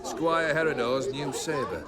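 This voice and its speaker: A man's voice: mid-range voice, animated, a little slow, lightly acted. Male actor as jaded aristocratic brigand chief for fantasy game: wry, theatrical, widely swinging intonation, crowd behind. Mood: wry, genial. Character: jaded, cultured, swaggering bandit lord, adult man. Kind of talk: video game cutscene dialogue.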